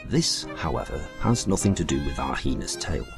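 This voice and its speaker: Man as super deep gravelly narrator, wry mock-solemn radio spoof, music behind. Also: big pitch swings, a little fast, heavily acted. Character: portentous, wry storyteller of a spoof adventure. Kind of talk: scripted radio drama narration.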